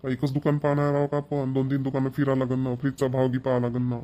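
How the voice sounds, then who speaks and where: A man's voice: medium pitch, completely flat delivery, fast, warm tone, muffled recording, lightly acted. A man voicing an impatient, thrifty young husband in a Marathi cartoon, with a Maharashtrian accent. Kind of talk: cartoon voice acting.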